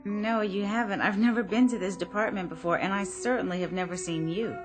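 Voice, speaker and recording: An actress playing an uneasy but polite department store shopper in a radio drama, hesitant, questioning and easily flustered. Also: female voice, medium pitch, brisk, music in the background, lightly acted. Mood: puzzled, uneasy.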